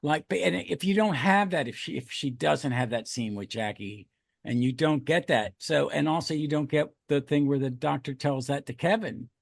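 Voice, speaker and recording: A man hosts a casual discussion in a fairly high voice thick with vocal fry. His phrasing is informal and choppy. The recording is close and dry. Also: moderate pace, no echo. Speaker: podcast host. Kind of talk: casual chat.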